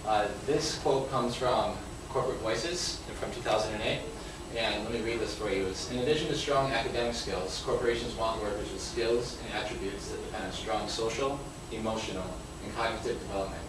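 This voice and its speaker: Guy giving a conference talk, reading bits out, lowish voice, super echoey. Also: brisk. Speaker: conference co-presenter. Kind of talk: presentation, partly reading aloud from slides. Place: conference session room. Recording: very echoey noisy room, distant microphone.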